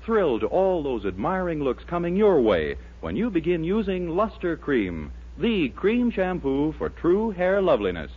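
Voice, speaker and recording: A man's voice: fairly high pitch, big pitch swings, unhurried, hardly any pauses, background noise. An American man announcing a 1940s radio show in a polished, emphatic scripted sales style. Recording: dull 1940s radio recording, music behind.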